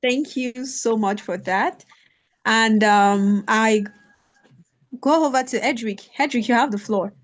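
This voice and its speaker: A woman's voice: a little high, slow pace. A woman hosting an online ceremony, informally moderating and handing over to speakers. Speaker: host moderating an online graduation event. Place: online video call.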